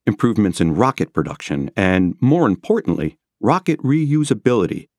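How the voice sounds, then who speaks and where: A man reading nonfiction as a scripted audiobook narration, with measured, rhetorical and emphatic phrasing. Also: on the low side, big pitch swings, darkish tone, no room sound, studio quality. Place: close, dry studio recording.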